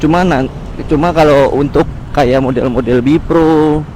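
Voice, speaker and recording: A man's voice: fairly high pitch, a little slow, darkish tone, poor audio. A man with a Jakarta Indonesian accent chatting casually and slangily to viewers while he rides a motorbike outdoors. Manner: informal, slangy, addressing viewers; talking while riding a motorbike. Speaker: motovlogger. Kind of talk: casual vlog chat. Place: outdoors on a motorbike on city roads. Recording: music behind, outdoor road and vehicle sounds. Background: music and vehicle noise.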